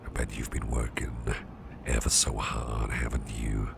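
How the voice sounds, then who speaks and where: Man acting as a very deep, gravelly protective boss. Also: monotone, unhurried, heavily acted. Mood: soothing, reassuring. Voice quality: very deep gravelly half-whisper. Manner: soft, reassuring, hesitant with repeated phrases. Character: protective, gentle, fussing male boss comforting exhausted employee. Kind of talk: ASMR roleplay, close personal attention. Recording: close recording with loud background noise.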